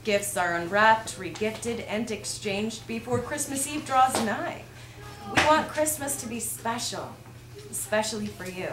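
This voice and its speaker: A woman's voice: on the high side, expressive, a little slow. A woman reading light, humorous verse aloud to an audience as one of the readers at a live event. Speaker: reader at a holiday readers theater. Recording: echoey room with clear background noise.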